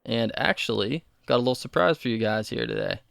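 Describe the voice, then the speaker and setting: A man in his thirties co-hosting a casual podcast chat, relaxed and easygoing. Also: mid-range voice, brisk, vocal fry, no room sound, clean studio sound. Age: 30s. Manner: relaxed, reads listener mail aloud. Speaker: podcast co-host.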